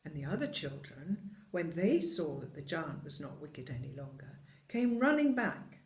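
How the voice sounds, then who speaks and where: A storyteller reading a children's tale with deliberate, dramatic phrasing. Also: medium pitch, big pitch swings, darkish tone. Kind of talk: expressive scripted storybook narration. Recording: echoey room.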